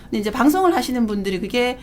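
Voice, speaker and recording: A woman's voice: a little high, big pitch swings, racing, a little mellow. A woman interviewing a guest in a casual, attentive way, often giving short replies. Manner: attentive, with frequent back-channel replies.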